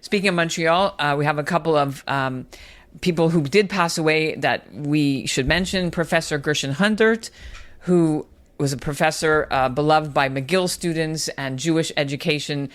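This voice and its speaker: A man's voice: fairly high pitch, normal speed, fairly bright tone. A man co-hosting a casual news podcast, leading the conversation through the segments. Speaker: podcast co-host.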